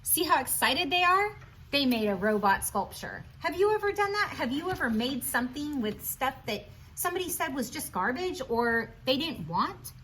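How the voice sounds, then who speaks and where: A woman with a high, very lively voice reads a storybook aloud for young children. Her intonation swings widely as she speaks straight to her listeners, posing questions and offering encouragement in asides. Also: normal speed, slight background noise, poor audio. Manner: animated, questioning, encouraging, addresses listeners directly. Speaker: children's read-aloud presenter.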